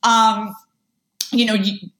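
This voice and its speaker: A woman's voice: medium pitch, flat delivery, takes it slowly, a bit bright, reverberant. A woman talking casually as an interview guest, rambling with lots of fillers. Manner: chatty, rambling, many fillers. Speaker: guest, online seller.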